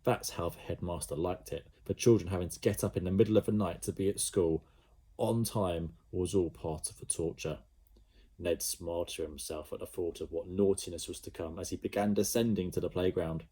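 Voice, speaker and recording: Low, husky storyteller man. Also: brisk. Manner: lively, expressive storytelling. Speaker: teacher. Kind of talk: storybook read-aloud.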